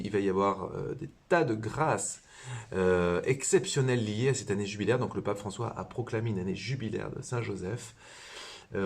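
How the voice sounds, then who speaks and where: A man's voice: on the low side, slightly rough. A man, a priest and religious brother from southern France, giving an informal spiritual talk with many hesitations and restarts. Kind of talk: informal spiritual teaching to camera.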